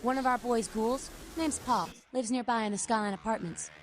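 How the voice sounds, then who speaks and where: A woman's voice: a little high, normal speed, close to an everyday voice. A woman voicing a tough, curt vampire anarch in a video game, blunt and matter-of-fact. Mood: curt, grim. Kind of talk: video game character dialogue. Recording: background music under the voice.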